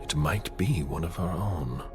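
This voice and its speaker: An actor playing a stern, protective and menacing vampire enforcer in a video game, speaking in a grave, commanding, gravelly way. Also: male voice, sits low, unhurried, music in the background. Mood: grave, loyal. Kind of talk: dramatic video game acting.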